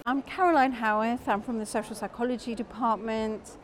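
Woman explaining her photo project, calm, voice on the higher side. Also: fairly quick, a little hiss or hum. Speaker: lecturer exhibiting photos. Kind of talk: short interview at an event.

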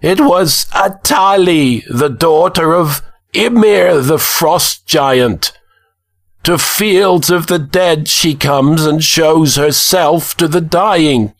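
A man's voice: fairly high pitch, slow pace, voice pushed up. A man voicing a fervent, wild older warrior in a fantasy audiobook, crying out his words like a mystic. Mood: fervent, awed.

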